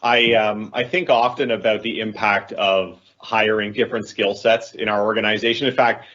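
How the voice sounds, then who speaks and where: A man's voice: on the low side, steady tone, normal speed, a bit bright, muffled recording. A man speaking as a corporate talent head on a panel, explaining his points reflectively and correcting himself as he goes. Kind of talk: conversational panel answer.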